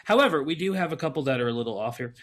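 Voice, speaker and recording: A man's voice: a little high, lively intonation, rapid-fire, fairly bright tone. Man, podcast host, casual film chat, rambling, easy laughs. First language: English. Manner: rambling, laughs often, fillers. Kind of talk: casual chat about films.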